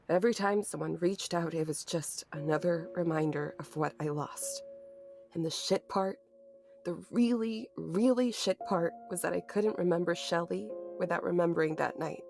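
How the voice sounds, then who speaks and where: A woman's voice: on the low side, gravelly. An actress playing a grieving, anxious young woman, narrating a horror audio drama in an introspective, bitter, sweary manner. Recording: music behind the voice. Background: music.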